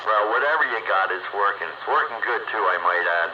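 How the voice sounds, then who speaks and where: Male radio operator, chummy and folksy, lively intonation, muffled transmission with clear static noise. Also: on the low side, a little fast. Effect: two-way radio transmission. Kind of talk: casual radio chat. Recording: noisy, dull two-way radio audio.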